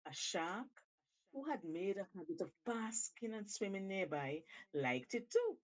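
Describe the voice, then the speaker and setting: A woman storyteller reading a tale aloud with deliberate, theatrical emphasis. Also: medium pitch, very animated, lo-fi sound. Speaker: storyteller, show host. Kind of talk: expressive scripted storytelling.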